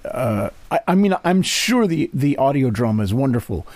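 A man hosting a casual interview, explaining things in a halting, searching way. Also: mid-range voice, very animated, darkish tone, no room sound. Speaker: interview host.

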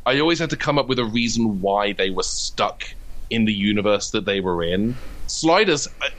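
A man's voice: fairly low pitch, animated, moderate pace, a bit bright. A man interviewed as a writer and voice actor, explaining his work reflectively and at length, often restarting his phrases. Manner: reflective, explains at length with repeated false starts. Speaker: guest, comedy writer and voice actor. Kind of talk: conversational interview.

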